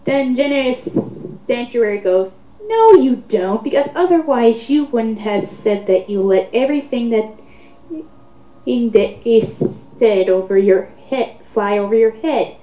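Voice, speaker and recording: A woman's voice: fairly high pitch, lively intonation, measured pace, mellow. Woman in a roomy, muffled recording, sarcastic. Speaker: host of a dramatic reading. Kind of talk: reading online comments aloud with mocking commentary.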